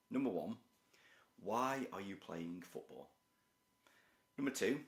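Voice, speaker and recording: A man with a croaky voice, explaining his points to camera in a measured, structured way. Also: sits low, reverberant. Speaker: youth football researcher and parent educator. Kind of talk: direct-to-camera talk.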